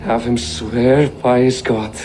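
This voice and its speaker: A man playing a proud, wistful dying warrior king in a cinematic video game scene, solemn and halting. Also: medium pitch, level tone, close to an everyday voice. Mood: weary, proud. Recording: music behind.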